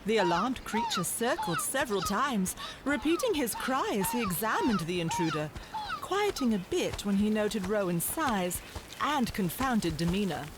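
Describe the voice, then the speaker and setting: A woman's voice: medium pitch, wildly swooping pitch, normal speed, noisy background. A woman reading scripted storybook narration in a classic literary style. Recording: loud music behind the voice.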